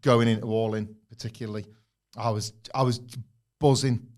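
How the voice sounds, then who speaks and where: A man's voice: medium pitch, little pitch movement, moderate pace, darkish tone. A man co-hosting a wrestling podcast, talking enthusiastically in long rambling takes. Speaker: podcast co-host. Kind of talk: casual podcast chat.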